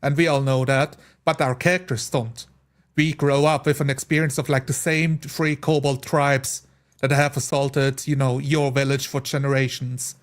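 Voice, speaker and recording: A man's voice: medium pitch, flat delivery, normal speed. A man in a casual group chat about a role-playing game, explaining his points through examples. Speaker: tabletop role-playing player.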